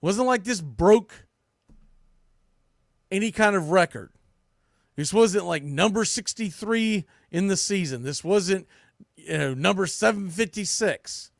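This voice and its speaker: A man's voice: sits high, animated, measured pace, gravelly, pauses often, dry recording, studio quality. A man hosting a sports podcast, giving a blunt, opinionated recap with emphatic repetition and mild swearing. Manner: blunt, ranting, mildly profane; emphatic, repeats phrases for punch. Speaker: podcast host, sports commentator.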